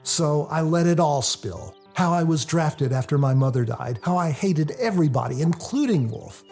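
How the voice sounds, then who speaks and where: A man reading a novel aloud as a first-person dramatic narrator, in a confessional, expressive storytelling manner. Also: a little high, moderate pace. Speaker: author reading their own novel. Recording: music under the voice. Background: music.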